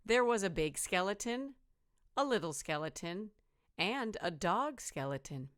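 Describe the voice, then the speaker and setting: A woman teacher reading a children's storybook aloud in a deliberate, rhythmic, expressive way. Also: medium pitch.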